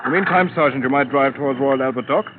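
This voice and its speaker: An actor playing a formal, world-weary English police inspector in a 1950s radio drama, polite and measured. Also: male voice, mid-range voice, lightly acted. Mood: brisk, courteous. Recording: noisy, tinny old radio broadcast.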